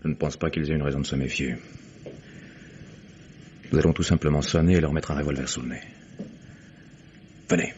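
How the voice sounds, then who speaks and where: An actor playing a confident, sly private detective in a French radio mystery, with an assured, wry manner. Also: male voice, a bass voice, fairly even intonation, brisk, long pauses, highs cut off. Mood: cool, ironic. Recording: noisy old radio drama recording.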